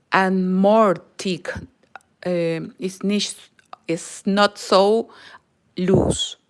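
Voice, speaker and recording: Slow Peruvian woman teaching crochet. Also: medium pitch, clean tone. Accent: Peruvian Spanish. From Peru. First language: Spanish. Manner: slow, careful, non-native English, often drops to a near whisper. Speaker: crochet channel host. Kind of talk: live crochet tutorial.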